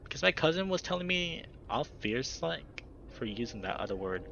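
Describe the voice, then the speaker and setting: A man voicing a dopey young woman who talks in a babyish, cooing whine with a slight rasp. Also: rumbling low, big pitch swings, normal speed, fairly bright tone, dull audio, a little hiss or hum, voice pushed up, exaggerated voice. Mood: whiny, dopey.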